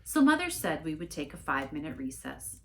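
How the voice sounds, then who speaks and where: A woman reading a storybook aloud in an expressive narrating style. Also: deep voice, brisk. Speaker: story reader, church staff member.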